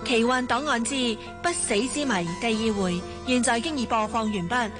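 Casting: female radio announcer, Hong Kong Cantonese, higher pitch, scripted sign-off with strong music bed. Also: normal speed. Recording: prominent jingle music behind.

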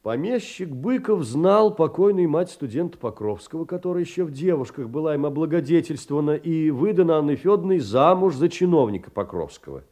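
An actor playing a narrator of a literary memoir in an audio drama, telling it in a dramatic, deliberate, theatrical way. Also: male voice, on the high side, animated, mellow. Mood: wry storytelling. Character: male narrator reading a young woman's sorrowful memoir.

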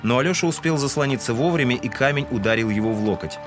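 A man's voice: mid-range voice, fast. A man giving scripted literary narration in an audio drama. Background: music.

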